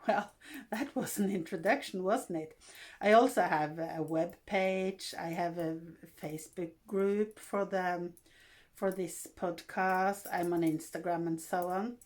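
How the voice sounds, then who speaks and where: A woman in her sixties from Norway with a Norwegian accent, hosting a casual vlog in English and talking in a halting way with fillers and restarts. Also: fairly low pitch, darkish tone. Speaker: vlog host.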